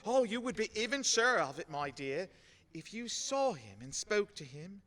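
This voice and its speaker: Man acting high, bright-voiced grieving gentle father. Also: big pitch swings, exaggerated voice. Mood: earnest, halting. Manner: tender, halting, earnest. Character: gentle, grieving, loving working-class father. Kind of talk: radio play acting. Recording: long hall reverb.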